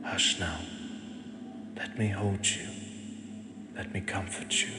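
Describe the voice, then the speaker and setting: A man voicing an ancient male god who speaks softly and slowly, fond and gently teasing, as if to a mortal he protects. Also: low-pitched, fairly even intonation, over loud music. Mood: soothing. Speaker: voice actor. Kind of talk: audio drama monologue. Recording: echoey with long reverb and clear ambience.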